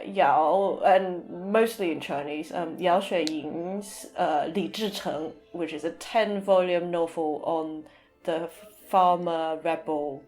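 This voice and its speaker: A woman's voice: fairly low pitch, darkish tone, rough recording. A woman speaking as a podcast guest in casual, somewhat hesitant conversation, often rephrasing as she goes. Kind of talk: casual chat.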